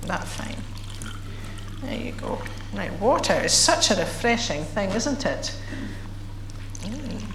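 Croaky-voiced woman minister in an echoey church. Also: medium pitch, big pitch swings, noisy background. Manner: measured and prayerful, then chatty and playful with children. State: croaky, recovering voice. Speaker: minister leading worship. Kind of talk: leading prayer and a children's talk. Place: church sanctuary.

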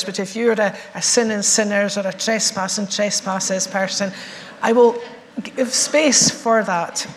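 A woman minister whose voice is still a little croaky, moving from slow, prayerful phrasing to friendly, playful chat with children. Her voice carries through an echoey church, with a faint hum of room noise behind her. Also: mid-range voice. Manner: measured and prayerful, then chatty and playful with children. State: croaky, recovering voice. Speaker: minister leading worship. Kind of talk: leading prayer and a children's talk. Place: church sanctuary.

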